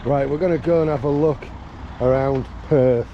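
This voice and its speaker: A man with a gravelly, rough voice, chatting casually and wryly as he vlogs a day trip around a town, cracking small jokes. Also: medium pitch, fairly even intonation, moderate pace, muffled recording. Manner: chatty, wry, joking asides. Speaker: travel vlogger. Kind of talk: casual vlog commentary. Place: outdoors in a town by a river and in a vehicle. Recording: outdoor street and traffic noise.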